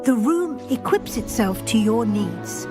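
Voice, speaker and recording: A composed professor of witchcraft for a fantasy game. Her voice sits rather high and she instructs with formal authority. Music plays clearly behind her. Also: lively intonation, a little slow. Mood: composed instruction. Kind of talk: video game voice acting. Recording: music underneath.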